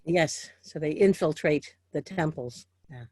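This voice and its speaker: A woman's voice: low-pitched, measured pace, darkish tone, breathy edge. A woman professor chatting with a student, giving short agreeing replies. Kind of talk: casual conversation.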